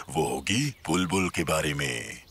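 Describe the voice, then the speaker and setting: A man voicing an old, wise male bear who tells stories slowly and kindly in a dubbed cartoon. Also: a bass voice, gravelly, pushed and bright, breathy edge, exaggerated voice. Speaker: storyteller.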